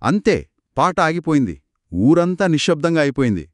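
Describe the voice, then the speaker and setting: A man's voice: a little high, moderate pace, no room sound, studio quality. A man co-narrating a folktale for children in a conversational style, putting questions to his listeners. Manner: animated, poses questions to listeners. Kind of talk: conversational two-person storytelling for children.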